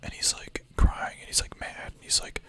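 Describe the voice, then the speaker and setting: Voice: normal speed, fairly bright tone. A young adult streamer whispering ASMR-style, chatting casually with chat, full of filler words and the odd swear.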